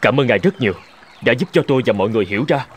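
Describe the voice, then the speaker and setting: Man as a lively young scholar, animated pitch. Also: rapid-fire, lightly acted. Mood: humbled. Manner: excitable, protesting. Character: naive, indignant young male scholar. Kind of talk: dubbed cartoon moral tale. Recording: music behind the voice.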